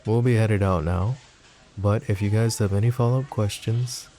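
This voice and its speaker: Deep, mellow, suave mafia boss acted by a man. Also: fairly even intonation, moderate pace, a little hiss or hum, lightly acted. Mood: calm, composed. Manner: smooth, teasing, mock-polite. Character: suave possessive yandere mafia boss, flirty and scheming. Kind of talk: intimate ASMR roleplay acting.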